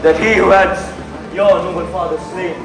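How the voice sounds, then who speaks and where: A man's voice: high-pitched, fairly even intonation, noisy background. A male student actor playing a scheming king, declaiming theatrically in stage Shakespeare style. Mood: persuasive. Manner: declamatory, slow. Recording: distant echoey hall, music behind.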